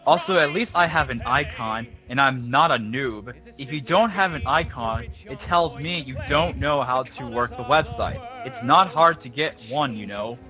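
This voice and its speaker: A man with a medium-pitched voice acts out written posts from an online argument. He sounds snide and dismissive, with music clearly audible behind him. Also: moderate pace, hardly any pauses. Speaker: reader of a dramatic reading. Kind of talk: dramatic reading of an online argument.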